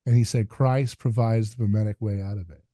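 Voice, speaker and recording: Host: man with a dark, warm voice, close and dry on the mic, earnest and emphatic delivery. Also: on the low side, fairly even intonation, brisk, dry recording. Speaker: podcast host. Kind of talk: casual conversation.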